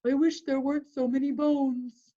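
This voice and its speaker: A woman voicing a huge, grumpy, man-eating giant from a storybook, in a slow, booming chant. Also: level tone, dark tone, natural voice. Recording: dull lo-fi call audio.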